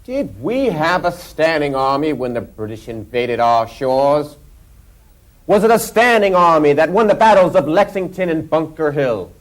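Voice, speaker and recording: Fiery delegate, swooping voice. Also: male voice, fairly high pitch, big pitch swings, unhurried. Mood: combative. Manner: rhetorical, combative, declamatory. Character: fiery 18th-century delegate hostile to standing armies. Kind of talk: period stage-like debate acting.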